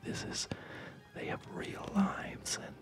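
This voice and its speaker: A man whispering breathily in a gentle, unhurried, rambling way, as host of an ASMR gaming video, close to the microphone. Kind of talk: whispered ASMR chat over gameplay.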